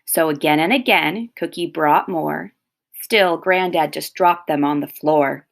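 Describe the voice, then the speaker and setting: A woman reading a children's picture book aloud expressively, pausing to comment and explain to young listeners. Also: deep voice, vocal fry.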